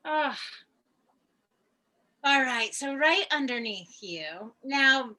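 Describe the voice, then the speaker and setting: Bright, effusive woman hosting. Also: high-pitched, extremely slow, rough recording. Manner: effusive, gushing, excitable. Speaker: host of a tarot gathering. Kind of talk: casual chat on a group video call. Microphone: video call.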